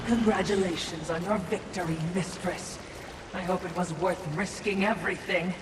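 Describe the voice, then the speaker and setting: A woman's voice: fairly even intonation, moderate pace, lightly acted. A woman playing a servile, sly spirit attendant in a video game, speaking in a formal, dryly needling way. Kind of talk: dramatic game cutscene acting. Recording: echoey, distant, over clear rumbling noise.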